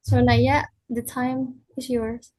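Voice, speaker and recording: Young Indonesian woman, halting questions. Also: a little high, little pitch movement, darkish tone. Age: young adult. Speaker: student interviewer.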